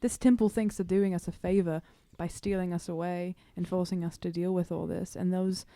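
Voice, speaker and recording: A woman's voice: on the low side, steady tone, fairly quick, dark tone, dry recording, close to an everyday voice. A woman playing a weary, homesick young demigod student in improvised tabletop roleplay, casual and hesitant with a sarcastic edge.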